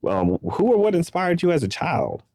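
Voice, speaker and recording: A man's voice: on the high side, huge pitch swings, normal speed, a little mellow, no echo. A man hosting a podcast interview, upbeat and chatty, with loose casual phrasing. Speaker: podcast host.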